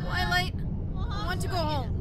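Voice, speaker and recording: Very high-pitched anxious young female pony. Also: moderate pace, over a noisy crowd. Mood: anxious pleading. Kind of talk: audio drama acting.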